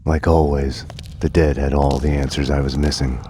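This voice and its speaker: An actor playing a world-weary middle-aged detective in a game, speaking terse, fatalistic, deadpan noir monologue. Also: male voice, rumbling low, moderate pace, a little hiss or hum. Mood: grim, fatalistic. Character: brooding, world-weary middle-aged male detective narrating his own downfall. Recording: dramatic music under the voice.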